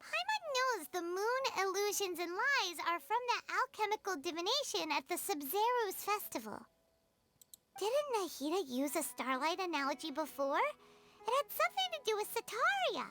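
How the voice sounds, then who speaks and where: A woman's voice: very high-pitched, big pitch swings, moderate pace, noisy background. A woman voicing a small childlike fairy companion in an anime video game, earnest, curious and given to exclaiming. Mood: curious, musing. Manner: talks of herself in third person, exclaiming.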